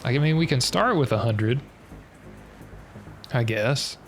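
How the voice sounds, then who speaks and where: A young man with a stuffed-up, slightly nasal voice thinks aloud through a puzzle, hesitant and muttering as he works it out step by step. His voice has a gravelly, fry-laced edge at a middling pitch. Game music plays clearly behind him. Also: expressive, slow pace. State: stuffy nose, a bit nasal. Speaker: streamer.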